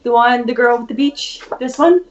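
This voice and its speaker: A woman's voice: fairly high pitch, moderate pace, lo-fi sound. A Dutch woman in her thirties with an Irish accent, chatting casually as a guest illustrator on a video call, laughing often. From Netherlands. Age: 30s. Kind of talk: casual chat.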